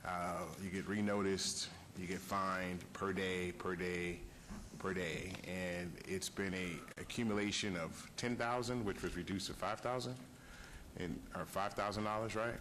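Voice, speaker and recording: A man's voice: low-pitched, steady tone, measured pace, a bit bright, slight background noise. A man council member questioning skeptically at a public hearing, stressing points with repetition. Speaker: city councilman.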